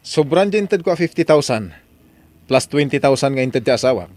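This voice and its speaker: A man's voice: mid-range voice, animated, a little fast, a little mellow, close to an everyday voice. Guy playing a stern uncaring young ilocano dad, short clipped refusals, radio drama style. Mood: cold, annoyed. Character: cold, irresponsible young father refusing child support. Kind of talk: Ilocano audio drama acting.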